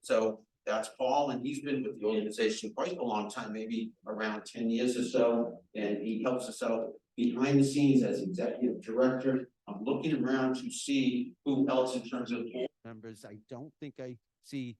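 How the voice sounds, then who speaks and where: A man with a deep, carrying voice welcoming an audience as the association's chapter president, talking loudly and chattily without a microphone. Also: fairly even intonation, normal speed, few pauses. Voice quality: deep voice that carries. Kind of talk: welcoming remarks at a live event. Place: event room at a nonprofit center. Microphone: no microphone, recorded from the room. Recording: echoey room.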